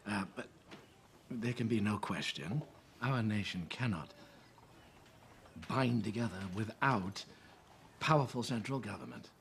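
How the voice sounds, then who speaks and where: Low, grave, deliberate President. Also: male voice, creaky, a little hiss or hum. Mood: grave, conciliatory. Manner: slow, halting, deliberate. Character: grave, conciliatory elder President of the new republic. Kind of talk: period film drama dialogue.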